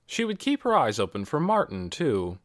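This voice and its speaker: Lively male storybook narrator, dry sound. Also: medium pitch, moderate pace, no room sound. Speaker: audiobook reader.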